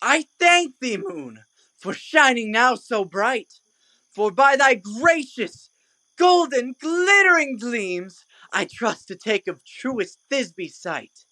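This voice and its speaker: Audio-play role: doomed lover, highish voice, very drawn-out, hammy declaiming, grand lamenting. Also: female voice, sing-song, pushed and bright, no echo, full character voice, poor audio. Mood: rapturous. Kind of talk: Shakespearean audio play acting.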